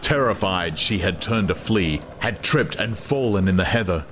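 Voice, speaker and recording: Male narrator for dramatized literary audiobook, lowish register, ambient noise underneath. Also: lively intonation, normal speed, a bit bright.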